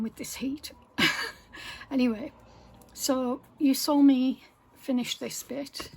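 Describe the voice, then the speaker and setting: Slow, soft-spoken croaky woman. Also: sits high, slight background noise, low-quality recording. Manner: soft-spoken, rambling, many pauses and fillers, often half-whispered. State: croaky voice, sore throat. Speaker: craft vlogger. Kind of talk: casual chat while stitching. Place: home room with window open.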